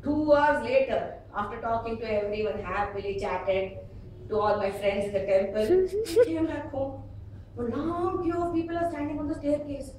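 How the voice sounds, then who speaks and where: Very echoey distant room recording, high-pitched woman, South Indian accent, middle-aged, expressive workshop trainer, demonstrative delivery. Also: normal speed. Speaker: public speaking trainer. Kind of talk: animated workshop talk with acted examples and anecdotes. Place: indoor meeting room before an audience. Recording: echoey room, distant mic, faint noise.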